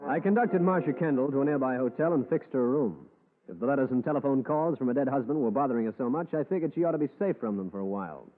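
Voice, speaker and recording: An actor playing a dry, wisecracking hardboiled private detective who also narrates the story, in 1940s radio style. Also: male voice, mid-range voice, lively intonation, fast, soft background music, lightly acted. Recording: tinny old radio broadcast.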